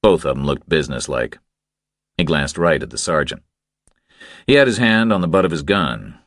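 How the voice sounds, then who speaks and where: A man narrating a crime thriller audiobook in a measured, terse storytelling manner. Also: extremely low pitch, lively intonation, a bit bright, dry recording, studio quality.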